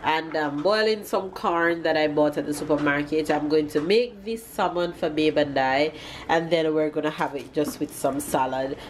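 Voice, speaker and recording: A woman's voice: sits low, normal speed, slight background noise. A woman from Jamaica chatting casually to camera in a family vlog, with a stuffy, nasal voice. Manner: chatty, upbeat, lots of fillers. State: stuffy nose. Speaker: vlogger. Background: background music.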